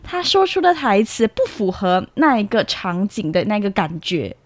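A woman's voice: mid-range voice, sing-song, normal speed, no room sound. A young woman working as a student reporter, leading a conversational interview and often acknowledging what she hears. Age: young adult. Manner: frequent short acknowledging interjections.

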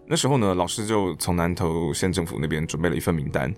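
A man's voice: low voice, quick pace, soft background music. A man from Taiwan with a Taiwanese Mandarin accent, a podcast guest telling stories in a casual, reflective way. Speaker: interview guest, stage director and actor.

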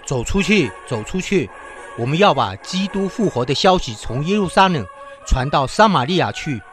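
An actor playing an excited, welcoming village believer in a radio Bible drama, theatrical and exclamatory. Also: male voice, on the high side, moderate pace, soft background music. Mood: amazed, excited. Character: excited, welcoming village man proclaiming faith.

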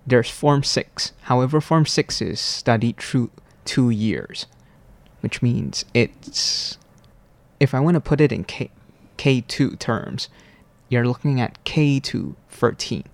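A man, explaining things in formal, deliberate, carefully worded answers. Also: medium pitch, animated, vocal fry, no echo. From Malaysia. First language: Cantonese. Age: 20s. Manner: formal, deliberate, precise wording. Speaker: university student guest.

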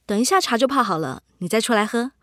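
Give me a soft-spoken, apologetic young wife with a slightly high voice and a dry close sound. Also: female voice, animated, fast, no echo. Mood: polite. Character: gentle, polite newly moved-in young wife. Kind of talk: radio drama acting.

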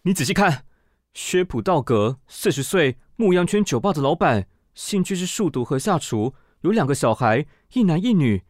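Theatrical, swooping Taiwanese magazine director. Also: male voice, on the high side, sing-song, normal speed, no room sound, clean studio sound. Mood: indignant, insistent. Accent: Taiwanese Mandarin. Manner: theatrical, bossy, haughty. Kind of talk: radio drama acting.

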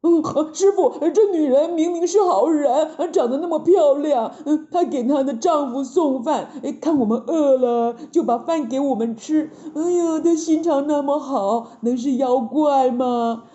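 A woman's voice: high voice, a little slow, few pauses, warm tone, voice pushed up. A woman voicing a whiny, gullible male pig disciple who grunts hesitantly and tattles in a children's story. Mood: whining accusation. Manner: hesitant grunts, whining complaints. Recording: roomy, dull lo-fi sound.

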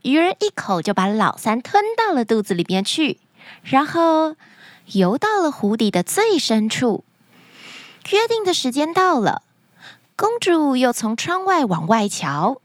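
A woman's voice: sits high, big pitch swings, a little slow, no echo, clean studio sound. A woman hosting a children's story podcast, narrating a fairy tale expressively and dramatically. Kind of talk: animated scripted storytelling for children.

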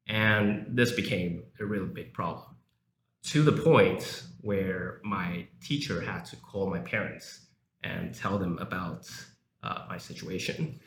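A man's voice: sits low, slow, fairly bright tone. A young man who grew up in South Africa, a lecturer talking casually as an interview guest, with frequent filler words. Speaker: guest, university English lecturer. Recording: echoey room, faint background noise.

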